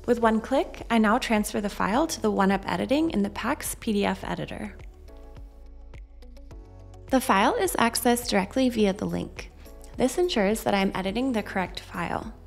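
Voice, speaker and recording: A woman narrates a scripted software tutorial in a mid-pitched voice. Her delivery is measured and instructional, with music playing faintly behind her. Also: creaky. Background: background music.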